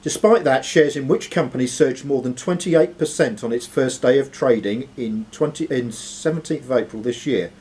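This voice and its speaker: A man's voice: mid-range voice, moderate pace, hardly any pauses, a little mellow. A man working as quizmaster, reading quiz questions aloud to contestants. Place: social club room with players around. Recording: noisy live room recording.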